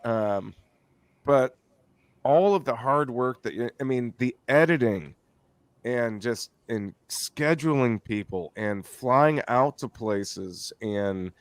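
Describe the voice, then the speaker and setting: A man hosting a podcast, rambling warmly through thanks with many restarts. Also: mid-range voice, expressive, slow pace, no room sound. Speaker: podcast host. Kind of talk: casual podcast chat.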